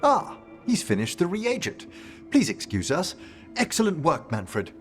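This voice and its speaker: An actor playing a courteous, eloquent and eccentric necromancer scholar in a fantasy game. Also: male voice, high voice, wildly swooping pitch, a little slow, faint music behind, heavily acted. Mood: genial, eager. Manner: refined, effusive, eloquent. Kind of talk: game companion dialogue acting.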